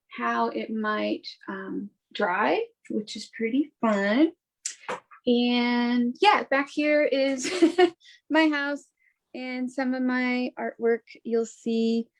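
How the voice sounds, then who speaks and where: Chatty woman artist, higher voice. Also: rough recording. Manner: relaxed, chatty with fillers. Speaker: artist presenting work. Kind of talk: casual demo talk.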